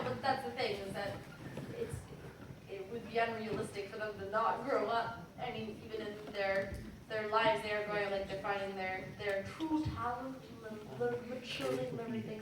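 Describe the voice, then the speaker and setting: A young voice actress answering panel questions, hesitant and giggly with many fillers. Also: female voice, medium pitch, steady tone, fairly quick, background noise. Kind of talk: casual panel Q&A. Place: large echoey convention hall. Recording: echoey hall recorded from a distance.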